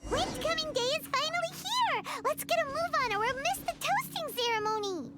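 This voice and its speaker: A woman voicing a tiny, cheeky, childlike fairy companion in a video game, with a squeaky, exclaiming delivery. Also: animated, normal speed, pushed and bright, faint music behind, exaggerated voice. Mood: excited. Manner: exclaiming, refers to herself in third person.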